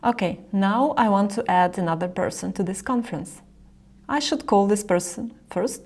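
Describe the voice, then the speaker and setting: A woman presenting a software tutorial, explaining each step directly to the viewer as she narrates a screen recording. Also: mid-range voice, normal speed.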